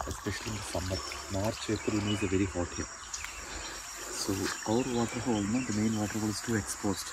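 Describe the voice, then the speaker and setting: Low-voiced guide amid loud forest insects. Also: male voice, brisk, noisy background. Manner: relaxed explaining, simple English phrasing. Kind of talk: guided nature walk explanation. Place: forest outdoors. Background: loud insects and forest ambience.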